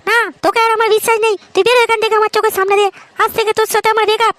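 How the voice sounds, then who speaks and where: A woman's voice: very high-pitched, little pitch movement, very fast, very noisy. A woman voicing a snobbish, fickle young girlfriend in a comic cartoon, haughty and taunting. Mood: angry.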